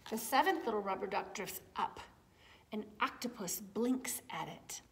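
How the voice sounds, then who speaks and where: A woman in her forties reading a picture book aloud to young children, with deliberate phrasing. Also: medium pitch, expressive. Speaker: children's story time reader. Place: large indoor room with high wooden ceiling.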